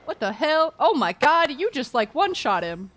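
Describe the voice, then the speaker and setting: Playful, higher-voiced woman streamer. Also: normal speed. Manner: playful, teasing, giggly, expressive. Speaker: livestream host. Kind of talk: casual game-stream chat.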